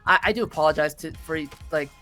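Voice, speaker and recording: Woman, slightly low voice, self-critical and animated in casual interview chat, music underneath. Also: quick pace. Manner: self-critical, animated, acts out inner thoughts. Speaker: voice actress, interview guest. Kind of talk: casual chat while playing a video game.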